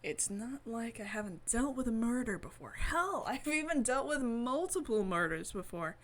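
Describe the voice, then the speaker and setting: A woman's voice: a little high, lively intonation, normal speed. A woman reading a visual novel aloud expressively, adding playful, wry asides in casual commentary as a streamer. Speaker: let's-play streamer.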